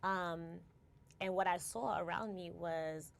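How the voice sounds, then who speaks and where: Interview guest: New woman in her forties, low gravelly voice, reflective and unhurried. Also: steady tone. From United States. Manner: reflective, tags phrases with "right?" and restarts words. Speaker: guest, company founder. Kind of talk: casual interview conversation.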